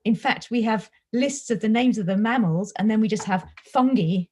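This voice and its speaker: A woman's voice: a little high, moderate pace, a little mellow, breathy edge. A woman moderating an online panel discussion in a conversational way, handing questions to the speakers.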